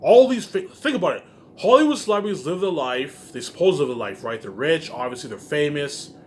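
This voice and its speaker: A man's voice: a little high, sing-song, fairly quick, darkish tone. A man rambling casually to viewers in an emphatic, repetitive, profane way, leaning on the same verbal tags. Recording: faint laundry-machine background noise.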